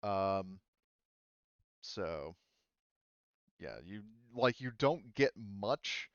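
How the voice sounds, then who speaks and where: A man running a tabletop game, improvising the narration in a halting, casual way. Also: lowish voice, clean tone, dry recording, muffled recording. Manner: halting, hesitant, casual delivery. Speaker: game master.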